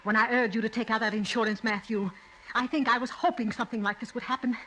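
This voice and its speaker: Woman acting a cold, scheming wife, sharp bright voice. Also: a little high, steady tone, a little hiss or hum, lightly acted, low-quality recording. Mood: coldly determined. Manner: composed, deliberate, theatrical. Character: cold, calculating, lovestruck wife scheming to be widowed. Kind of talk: old-time radio drama acting.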